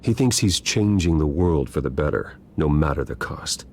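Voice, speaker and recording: An actor playing a grave, determined billionaire vigilante in a video game, earnest, clipped and controlled. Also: male voice, extremely low pitch, lively intonation, moderate pace, a little mellow, exaggerated voice. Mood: earnest, urgent.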